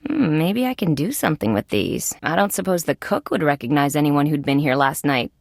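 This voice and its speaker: Wry lady detective, low and creaky. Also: animated, a little fast, no echo, full character voice. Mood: musing. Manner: dry, sardonic, matter-of-fact. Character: wry, tough female insect police detective. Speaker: video game voice actor. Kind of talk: scripted game dialogue and observations.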